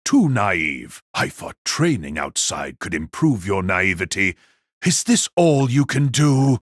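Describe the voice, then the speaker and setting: A man's voice: fairly low pitch, sing-song, takes it slowly, no echo, studio quality, pitched below the performer's own voice, heavily acted. A man playing a cold, mocking elder brother, an elite warrior, in an audio drama, speaking in a frank, condescending way. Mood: scornful, commanding.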